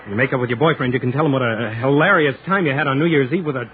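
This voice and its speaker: A man's voice: mid-range voice, racing, slight background noise, lightly acted. An actor playing an eager, lonely young American man in 1940s radio drama style. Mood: hurt, bitter. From United States. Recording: tinny 1940s radio recording, crowd behind.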